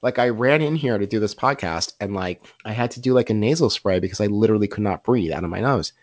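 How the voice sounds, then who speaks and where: Man, podcast host, stuffy-nosed and a touch scratchy, animated and jokey, slightly muffled recording. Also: on the low side, fast talker. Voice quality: a little scratchy. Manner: playful, self-deprecating banter. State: congested. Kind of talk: casual chat. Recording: slightly dull lo-fi sound.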